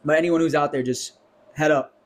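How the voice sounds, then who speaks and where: A young man with a fairly high voice on a casual podcast, ranting about money. He is animated and curses freely. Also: brisk. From New York. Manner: animated, ranting, swears often. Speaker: podcast co-host.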